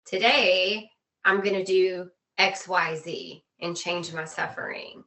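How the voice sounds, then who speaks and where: A woman's voice: on the low side, steady tone, takes it slowly, sharp tone, low-quality recording. A woman interview guest explaining things in an earnest, conversational way, with emphatic, repeated phrasing.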